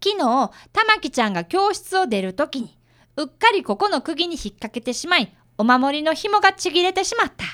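A woman playing a bright, polite fifth-grade boy apprentice detective in a radio drama. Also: sits high, sing-song, brisk. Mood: explaining. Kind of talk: radio drama acting with first-person narration.

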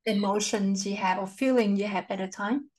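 A woman hosting a podcast interview, asking questions gently and thanking her guest, with non-native phrasing. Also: mid-range voice, normal speed, low-quality recording. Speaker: podcast host.

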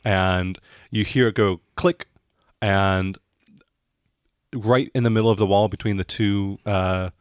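A man narrating a tabletop role-playing game as game master, halting now and then as he improvises descriptions. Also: fairly low pitch, steady tone, smooth, dry recording, clean studio sound.

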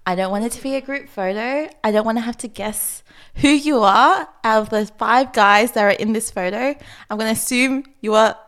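A young woman talks as a guest on a casual podcast. She is playful and a little hesitant, with a slightly high voice. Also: moderate pace, fairly bright tone. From Australia. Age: 20s. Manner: chatty, hesitant fillers, playful.

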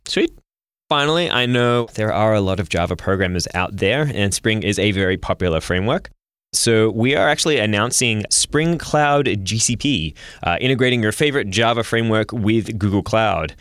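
A man's voice: on the low side, expressive, normal speed, fairly bright tone, smooth, dry recording, studio quality. A man co-hosting a tech podcast, chatting casually and with enthusiasm, rattling off product names and laughing at his own stumbles. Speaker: podcast co-host.

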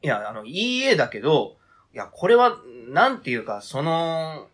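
A man's voice: on the high side, big pitch swings, normal speed, fairly bright tone. A man acting in a radio drama reading as a baffled, defensive adult who protests informally and gropes for words. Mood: fumbling. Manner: hesitant, informal, groping for words.

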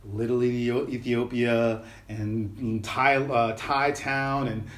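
A middle-aged man chats in a loose, rambling way, full of slang and fillers. His voice sits at an ordinary pitch for a man. The recording has a noticeable room echo. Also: little pitch movement, takes it slowly. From New York City. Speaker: podcast guest, musician. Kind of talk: casual conversational interview.

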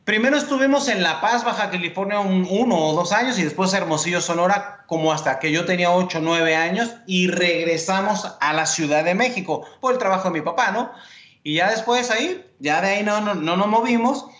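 Mexican man, 40s, high voice in a roomy space, relaxed joking storyteller. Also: fairly quick. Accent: Mexican Spanish. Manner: relaxed storytelling, joking, uses "compadre" and "brother". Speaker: TV sports and entertainment host, guest. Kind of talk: casual interview chat.

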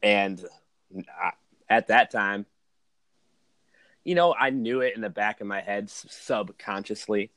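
Casting: male guest, about thirty-one, fairly deep voice, informal personal storytelling full of "like" and "man", dry recording. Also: a little slow, long pauses, fairly bright tone, no echo. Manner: informal, slangy, uses "man", "dude" and "like". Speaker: podcast guest, podcaster. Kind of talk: casual chat, personal storytelling.

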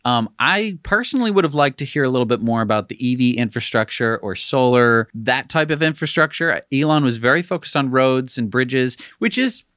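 A man's voice: mid-range voice, animated, brisk, hardly any pauses, fairly bright tone, no echo, clean studio sound. A man co-hosting with conversational commentary, offering his own opinions in informal, qualified asides. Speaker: co-host.

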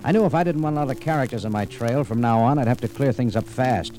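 An actor playing a tough, wry American cafe owner and amateur sleuth in a 1940s radio detective drama, speaking in a clipped, hard-boiled way. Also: male voice, mid-range voice, fast, dark tone, close to an everyday voice, rough recording. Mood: tense, narrating. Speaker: lead actor and narrator. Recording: old radio recording with music.

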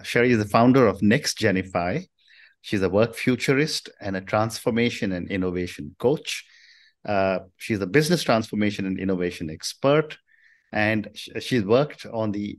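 A man hosting an interview podcast, introducing a guest and asking questions. He sounds measured and affirming, often murmuring agreement as he listens. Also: fairly low pitch. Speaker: host.

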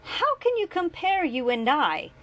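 A woman's voice: fairly high pitch, animated, a little slow, a bit bright, voice pushed up, exaggerated voice. A woman voicing a bitter, exhausted young man who confronts his father with mounting anger, in a read-aloud story. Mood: furious. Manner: sardonic, rising to anger.

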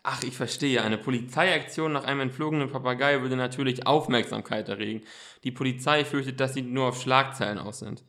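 A man's voice: medium pitch, a little fast, sharp tone, close to an everyday voice. A man playing an eager, self-assured boy detective who takes charge, in an amateur German radio play. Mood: helpful.

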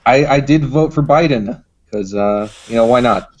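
A man's voice: mid-range voice, moderate pace. A man chatting casually as a podcast guest, recorded with a dull, lo-fi sound. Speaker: podcast guest, radio drama producer. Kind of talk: casual chat.

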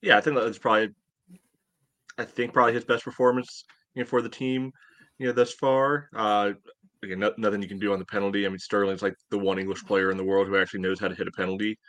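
Low-voiced man, dry and close-sounding. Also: little pitch movement, quick pace, fairly bright tone, dry recording. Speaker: podcast co-host. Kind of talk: casual sports analysis chat.

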